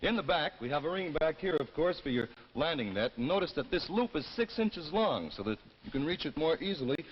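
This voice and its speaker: A man's voice: on the high side, very animated, brisk. A man presenting a product as a television announcer, folksy and conversational, in an informal live demonstration. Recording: muffled, noisy old television soundtrack.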